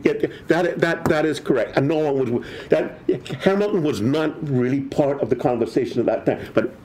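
An older man, a professor guest, explaining history in a halting, restarting way full of false starts. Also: on the high side, slight background noise. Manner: halting, restarts often, animated. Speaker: political science professor guest. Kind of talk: conversational history discussion.

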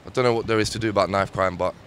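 A man in his thirties with a lowish voice talks slowly and reflectively into a handheld mic. He uses loose, casual phrasing, and faint traffic noise is heard behind him. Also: steady tone, fairly bright tone. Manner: laid-back, reflective. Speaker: interviewee. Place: busy city street outdoors. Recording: outdoor street noise behind.

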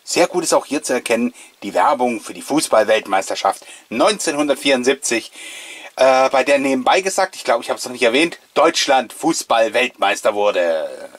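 A man's voice: mid-range voice, expressive, moderate pace, a bit bright. A man, giving chatty, playful, nostalgic commentary as the host of a casual video show. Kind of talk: casual, jokey commentary on an old mail-order catalogue.

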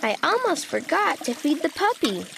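A woman's voice: high-pitched, big pitch swings, normal speed, vocal fry, very noisy. A woman narrating a children's storybook expressively, voicing an earnest, forgetful little boy critter with a childlike manner. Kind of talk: expressive children's read-aloud in a young child character's voice.

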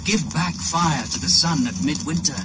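A man's voice: on the high side, normal speed, natural voice. An actor playing an ancient male shaman in a 1980s radio play, speaking in a solemn, incantatory way. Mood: chanting. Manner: solemn, incantatory, ritual chanting. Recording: noisy old radio play recording.

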